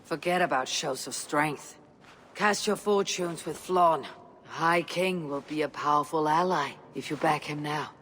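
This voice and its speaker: A seasoned Viking raider in a game cutscene. He is pragmatic and plain-spoken, a touch high in pitch, and measured in delivery. There is faint noise in the recording. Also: a bit bright. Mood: firm, counselling. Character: seasoned male Viking raider, steady, pragmatic war counsellor. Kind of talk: video game cutscene acting.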